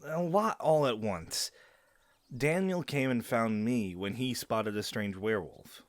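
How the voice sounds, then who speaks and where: A man playing an earnest young werewolf, the pack leader's son, in an audio drama, with an expressive way of talking. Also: medium pitch, measured pace, no room sound, natural voice. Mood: urgent, earnest. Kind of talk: audio roleplay acting.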